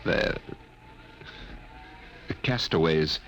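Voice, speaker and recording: An actor playing a shaken, earnest scientist speaking haltingly in a 1950s radio drama. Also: male voice, a bit bright. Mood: insistent. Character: shaken male scientist, insistent survivor of an ordeal. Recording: slightly noisy old radio recording.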